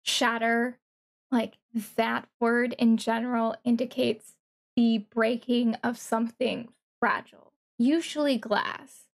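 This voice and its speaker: Woman streamer doing casual reaction commentary, slightly high voice, slow and halting, thinks out loud. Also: level tone, gravelly. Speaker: streamer reacting to a game trailer.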